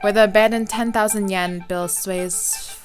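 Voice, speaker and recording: A woman's voice: medium pitch, fairly even intonation, unhurried. A woman hosting a let's play, reading a visual novel aloud informally, with some stumbles and game music behind her.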